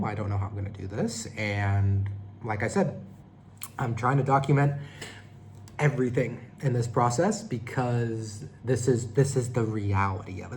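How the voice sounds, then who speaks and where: A man in his thirties from California talks casually through a vlog update. He rambles and restarts his sentences in a relaxed, conversational manner. A faint hum sits under the recording. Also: mid-range voice. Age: 30s. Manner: rambling, conversational, self-correcting. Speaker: vlogger.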